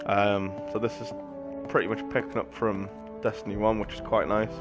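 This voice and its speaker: A British man streams a game with offhand, rambling commentary. His voice is fairly low and barely varies in pitch. Game music plays clearly behind him. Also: level tone, moderate pace. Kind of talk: casual live gameplay commentary. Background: video game music.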